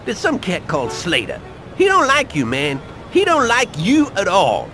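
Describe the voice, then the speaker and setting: An actor playing a jittery streetwise informant in a video game, slangy and excitable, over noisy street ambience. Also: male voice, high-pitched, moderate pace, faint music behind, full character voice. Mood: confiding warning. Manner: slangy, excitable, repeats verbal tags. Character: jittery, jive-talking streetwise male informant.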